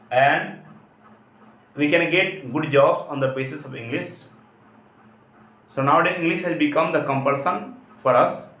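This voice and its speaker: A man from India with an Indian accent and Hindi as his first language, giving an explanatory English lesson as a teacher, with halting, repetitive phrasing. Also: fairly high pitch, pushed and bright. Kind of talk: spoken lesson, explanatory talk. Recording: echoey, roomy sound.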